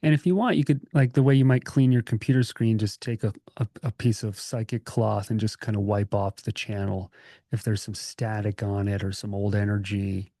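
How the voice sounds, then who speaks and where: A man leading a guided meditation as a teacher, speaking in a gentle, calming, informal way with pauses. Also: fairly low pitch, no room sound, studio quality. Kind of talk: guided meditation, conversational and unscripted.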